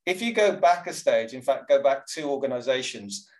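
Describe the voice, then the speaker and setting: A man's voice: medium pitch, lively intonation, moderate pace, a little mellow. A man interviewing a guest, asking inquisitive questions built up in layers.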